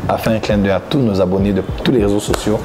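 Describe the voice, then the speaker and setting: A man in his thirties from Congo, a preacher answering interview questions in a studio, smiling and speaking thoughtfully with frequent pauses. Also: lowish voice, steady tone, noisy background. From Democratic Republic of the Congo. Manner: smiling, gesturing with both hands, thoughtful pauses with many "euh". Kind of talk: relaxed interview answers to camera. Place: plain grey studio backdrop, indoors. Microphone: lapel mic. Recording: background music under the voice.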